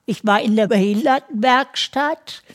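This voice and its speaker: A woman in her sixties answering interview questions in simple, plain sentences with short pauses. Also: on the high side. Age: 60s. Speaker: guest, retiree.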